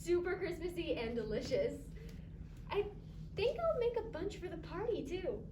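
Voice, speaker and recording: A woman's voice: extremely high pitch, big pitch swings, moderate pace. A female drama student playing a festive, loving wife in a staged reading. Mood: cheerful. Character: cheerful, festive, loving housewife baking for Christmas. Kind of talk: staged reader's theatre performance. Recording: distant echoey noisy live stage recording.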